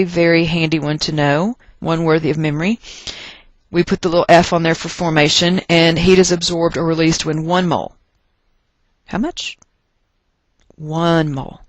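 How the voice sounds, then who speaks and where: Casting: American science teacher from Texas, medium pitch, folksy and emphatic lecturer, dry recording. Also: steady tone, no room sound. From Texas, United States. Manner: emphatic, deliberate stress and repetition of key points; folksy, joking asides. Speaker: chemistry teacher. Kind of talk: lecture narrated over class notes.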